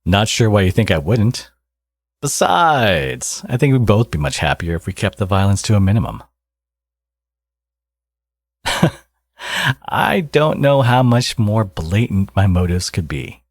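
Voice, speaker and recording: Casting: smug villain dad for audio roleplay, male actor, low-pitched, sardonic and teasing, with swooping, expressive delivery. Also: measured pace, pauses often, dry recording, studio quality, exaggerated voice. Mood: teasing, coaxing. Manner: teasing, sardonic, drawling threats. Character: smug supervillain man, teasing ex-enemy and doting father. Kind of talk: ASMR audio roleplay, intimate acted monologue.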